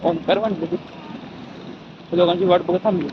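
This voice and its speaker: A young man with a rural western Maharashtra accent and a fairly high, even voice. He rambles casually to his vlog viewers, often correcting himself. The sound is dull, with clear background noise. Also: a little slow. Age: young adult. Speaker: vlogger. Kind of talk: casual daily vlog chat.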